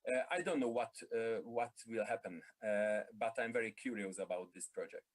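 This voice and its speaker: A man from Hungary, an author guest, speaking slowly with many hesitations. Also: lowish voice. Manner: slow, halting, many hesitations.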